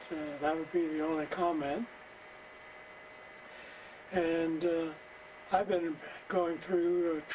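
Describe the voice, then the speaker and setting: A man giving a casual, rambling update on an amateur radio net in a folksy way. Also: a little high. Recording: tinny phone-like link, distant, faint noise.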